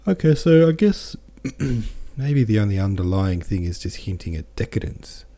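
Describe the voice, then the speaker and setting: A man's voice: fairly low pitch, very animated, normal speed, dark tone. A man hosting a book podcast, thinking aloud about a chapter, reading out listener comments and then reading the novel aloud. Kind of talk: casual book-club talk and reading aloud.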